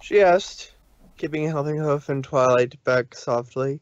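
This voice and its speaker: A man narrating a fanfic read-aloud on a stream, halting, with slips and self-corrections. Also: fairly high pitch, clean tone, no echo, lo-fi sound. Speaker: narrator reader.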